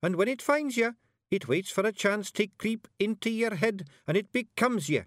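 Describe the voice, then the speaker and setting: A man voicing a tiny, nervous, learned young fairy bard, squeaky and earnest. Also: animated, normal speed, fairly bright tone, no echo, clean studio sound. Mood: ominous.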